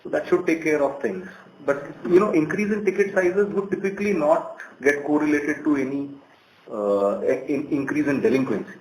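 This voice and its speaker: A man with an Indian accent speaking as a company executive, giving measured, halting explanatory answers on a conference call. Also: on the high side. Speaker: bank executive answering analyst questions. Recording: roomy conference call, faint noise.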